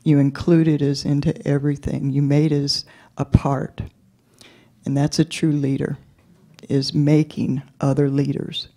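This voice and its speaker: A city commissioner giving an earnest farewell tribute to the mayor at a public meeting. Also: mid-range voice. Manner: slow, even, earnest.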